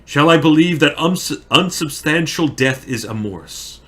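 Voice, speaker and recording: A man playing a grieving, lovesick young tragic hero in a theatrical, solemn Shakespearean monologue with drawn-out phrasing. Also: fairly high pitch, animated, a bit bright, voice pushed up. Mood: grieving, tender.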